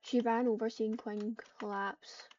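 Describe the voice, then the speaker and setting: A woman narrating an improvised roleplay story, reading it aloud haltingly and stumbling over words. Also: a little high, little pitch movement, a little mellow, a little hiss or hum. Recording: dull, muffled lo-fi microphone.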